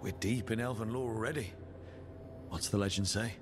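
An actor playing a level-headed companion in a fantasy video game cutscene. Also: male voice, lowish voice, steady tone, normal speed, natural voice. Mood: measured, questioning. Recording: dialogue under clear background music.

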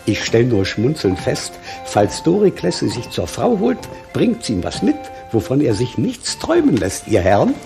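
A man's voice: mid-range voice, wildly swooping pitch, normal speed, creaky, hardly any pauses. An actor playing a proud, fond old shepherd father in a 1960s German radio play. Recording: 1960s radio production with music behind.

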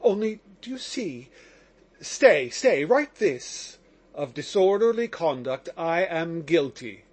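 A man's voice: fairly high pitch, big pitch swings, a little mellow, heavily acted. A man voicing an agitated, slightly drunk young man under suspicion, talking nervously and effusively. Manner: nervous, rapid, pleading.

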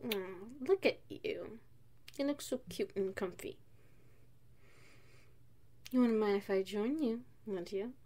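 A woman playing an affectionate, playful wife in an ASMR roleplay, speaking in a soft, intimate manner. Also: mid-range voice, slow, long pauses. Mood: playful, cuddly. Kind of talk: ASMR roleplay, one side of a dialogue.

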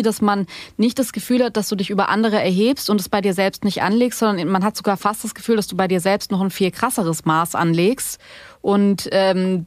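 A woman's voice: mid-range voice, no echo, clean studio sound. A woman hosting a podcast, asking long, conversational interview questions.

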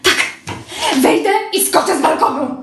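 Melodramatic young wife, young actress: high-voiced, accusing and theatrical, recorded in a roomy home room. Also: female voice, normal speed, background noise, close to an everyday voice. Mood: outraged. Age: young adult.

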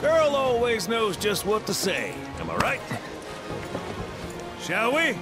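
Swaggering Southern US pilot: salty and folksy, highish voice with lively swings, loud music and waves behind. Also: male voice, slow pace, exaggerated voice. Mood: breezy, genial. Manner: folksy drawling slang, swaggering. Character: gruff cocky folksy pilot, free-spirited and salty. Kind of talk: video game cutscene acting.

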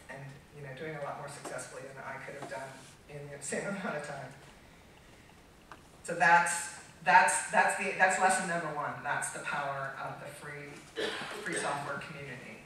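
A middle-aged American woman speaking unscripted to a conference audience, halting and emphatic, restarting her phrases as she goes. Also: extremely low pitch. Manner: halting, emphatic, with repeated false starts. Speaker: conference keynote speaker, trademark attorney. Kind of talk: public talk to an audience, unscripted. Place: large dark auditorium stage, recorded from far off. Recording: echoey hall, distant microphone, faint noise.

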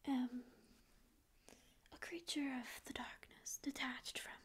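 A woman's voice: high voice, steady tone, slow pace, breathy edge, exaggerated voice. A woman voicing a grouchy, ancient sleep paralysis demon who secretly cares, speaking in a sarcastic, mock-rude ASMR roleplay whisper close to the mic in a small dim room. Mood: grumpy whisper. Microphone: close ASMR microphone.

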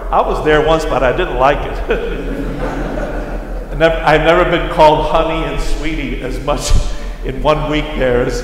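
Slow Brooklyn-accented priest in echoey church. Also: male voice, fairly high pitch, noisy background. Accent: Brooklyn with a slight Irish lilt. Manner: storytelling, acting out voices, self-deprecating asides. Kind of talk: sermon. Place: large church.

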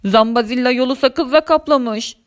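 A woman's voice: sits high, moderate pace, clear, resonant tone, lightly acted. A woman voicing a friendly, loyal green monster race driver in a children's story, spirited and matter-of-fact. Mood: urgent, explaining.

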